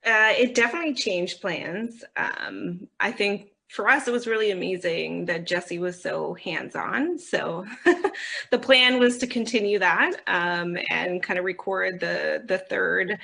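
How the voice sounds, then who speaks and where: A woman's voice: on the low side, measured pace, gravelly, pushed and bright, husky. A woman speaking reflectively and casually as a panel guest. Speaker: audio company executive, panel guest.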